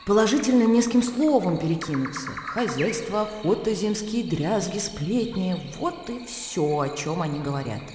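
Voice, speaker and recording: In a reverberant hall with clear background noise, an actress plays a weary widow in a radio drama. She is cool and gossipy, full of self-pity, and phrases things plaintively, like a book. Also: medium pitch, lively intonation, normal speed, hardly any pauses, close to an everyday voice. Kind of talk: radio play acting.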